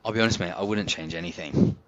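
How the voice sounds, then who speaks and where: A man's voice: deep voice, fast talker, slight background noise. A man, talking candidly and reflectively as a podcast co-host, laughing easily. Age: 40s. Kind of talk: casual chat. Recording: dry, slightly dull audio.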